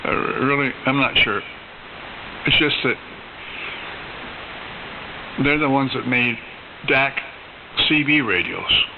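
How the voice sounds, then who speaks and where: A gravelly-voiced man chats over a CB radio in an easygoing, folksy way. The signal is dull and lo-fi, with clear hiss behind his creaky voice. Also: medium pitch, lively intonation, slow, long pauses. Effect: two-way CB radio transmission. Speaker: CB radio operator chatting on air. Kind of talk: casual chat. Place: heard over a CB radio receiver.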